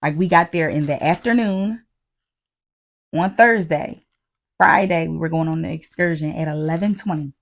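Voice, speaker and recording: An American woman co-hosting a podcast, telling stories casually in slangy, profane, animated talk with quoted asides. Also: sits low, moderate pace, gravelly. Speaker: podcast co-host. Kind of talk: casual chat, storytelling.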